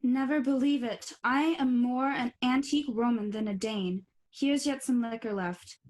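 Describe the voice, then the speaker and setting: A woman's voice: on the high side, moderate pace, a little mellow, natural voice. A young woman playing a loyal, anxious male friend in a Shakespearean radio play. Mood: grieving. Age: young adult. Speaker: student actor.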